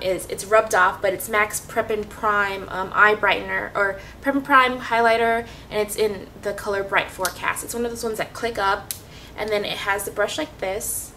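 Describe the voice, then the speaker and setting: A woman's voice: medium pitch, pushed and bright, slight background noise. Chatty woman vlogger, slightly distant mic. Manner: chatty, many ums and restarts. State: sniffly, coming down with a cold. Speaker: beauty vlogger. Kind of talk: casual makeup tutorial.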